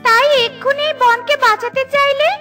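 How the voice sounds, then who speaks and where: A woman's voice: very high-pitched, steady tone, normal speed, bright, forceful tone, soft background music, voice pushed up. A woman voicing a talking magic wand that gives solemn revelations and commands in a children's cartoon. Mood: solemn, urgent.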